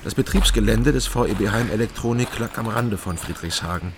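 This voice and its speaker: An actor as a low-voiced, laconic East German night porter. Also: male voice, a little fast, background noise, close to an everyday voice. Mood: dry, reflective. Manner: laconic, terse, understated. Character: taciturn East German night porter, ex-criminology student, wry. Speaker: first-person narrator. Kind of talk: noir-like radio drama narration and dialogue. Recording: music behind the voice.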